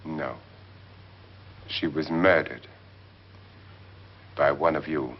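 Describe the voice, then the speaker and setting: Deep, sardonic millionaire. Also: male voice, fairly even intonation, breathy edge, exaggerated voice. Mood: measured, suspicious. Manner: theatrical, imperious, deliberate. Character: wealthy, sardonic, icy middle-aged husband, imperious and menacing. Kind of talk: 1950s horror film acting. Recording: muffled 1950s film soundtrack with music.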